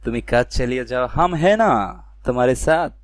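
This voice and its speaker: A man's voice: medium pitch, quick pace, clear, resonant tone, no echo, studio quality, natural voice. A man voicing a doting, scheming sweetheart in a satirical political cartoon, coaxing and cajoling.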